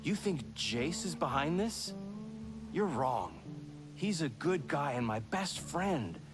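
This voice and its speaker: A man's voice: fairly high pitch, big pitch swings, slow pace. An actor playing an earnest, emphatic man pleading his case in a video game. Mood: insistent, defensive. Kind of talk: video game cutscene acting. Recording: music playing behind.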